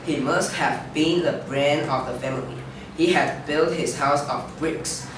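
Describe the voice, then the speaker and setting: A woman with a low voice narrates a storybook script. She is distant from the mic, with strong echo and background noise. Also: a little slow. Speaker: reader's theater narrator. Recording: very echoey, distant, noisy room.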